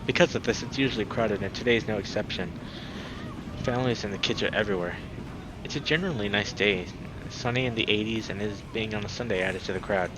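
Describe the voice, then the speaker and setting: A man's voice: fairly low pitch, fast talker. A young adult man doing a casual let's play, chatting to viewers and reading a visual novel aloud. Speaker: game streamer. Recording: noisy background behind the voice.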